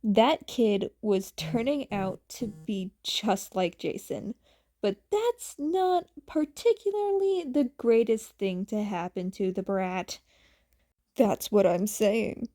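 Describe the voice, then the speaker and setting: A woman's voice: medium pitch, sing-song, slow pace, dark tone. A woman narrating a story as an amateur fan fiction reader.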